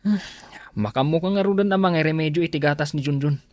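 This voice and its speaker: A man's voice: fairly high pitch, fast talker, darkish tone, dry recording, lightly acted. A man with an Ilocano accent playing a lazy, evasive young husband who grumbles in a radio drama.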